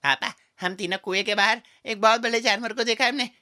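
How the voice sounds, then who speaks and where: A man voicing a blunt, excitable, wide-eyed little frog child in a children's animated fable. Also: sits high, brisk, pitched above the performer's own voice. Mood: amazed.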